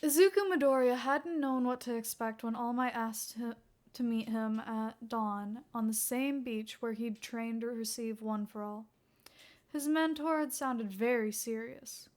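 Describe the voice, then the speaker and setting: A woman's voice: a little high, measured pace, darkish tone. A woman narrating a scripted fan fiction story as its reader.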